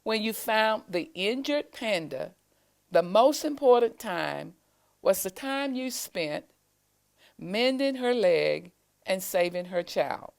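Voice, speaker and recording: A woman voicing a wise, patient old male turtle mentor in a storybook, explaining things gently and deliberately. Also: medium pitch, expressive, gravelly. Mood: patient, instructive.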